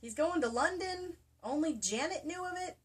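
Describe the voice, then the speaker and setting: A woman's voice: very high-pitched, animated, moderate pace. A woman giving a comedic dramatic reading of a novel, mock-theatrical and breaking into casual asides. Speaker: co-host reading a novel aloud. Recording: noisy room, distant microphone.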